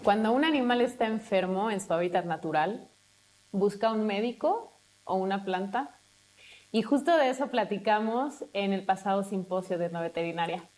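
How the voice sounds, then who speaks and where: A woman's voice: mid-range voice, normal speed, darkish tone. A woman academic, explaining her research fluently. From Mexico. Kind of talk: presentation to camera.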